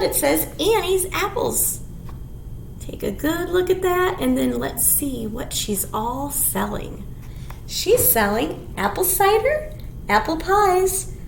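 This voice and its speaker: A woman leading a storytime for small children as a librarian, reading aloud and singing in simple, sing-song phrases. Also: extremely high pitch. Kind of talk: children's storytime read-aloud with songs and rhymes. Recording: echoey room, clear background noise.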